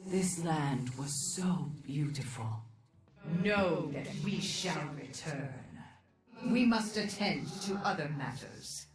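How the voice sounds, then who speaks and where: A woman's voice: sits low, expressive, slow. An actress playing a vengeful undead spear-wielding ghost woman in a video game, speaking in solemn, formal pronouncements with an eerie, echoing effect. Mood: mournful, solemn. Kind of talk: video game character voice lines. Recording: very echoey, distant, music behind.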